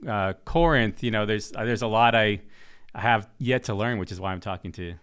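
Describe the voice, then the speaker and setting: A man's voice: on the low side, brisk, fairly bright tone, clean tone. A man from Massachusetts hosting a casual discussion, friendly and rambling with many restarts. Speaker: podcast host.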